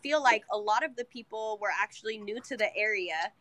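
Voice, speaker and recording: A woman's voice: medium pitch, fairly quick, fairly bright tone. A young woman from California, a salon owner, chatting casually in an interview over a video call, often acting out what she and her clients say. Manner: chatty, quotes herself and clients. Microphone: webcam on video call.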